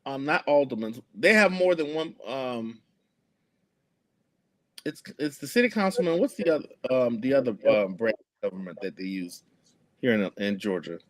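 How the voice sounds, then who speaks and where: A man's voice: mid-range voice, animated, normal speed, rough recording. A man, speaking earnestly as an activist guest in an interview, with many fillers and restarts. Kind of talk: conversational interview.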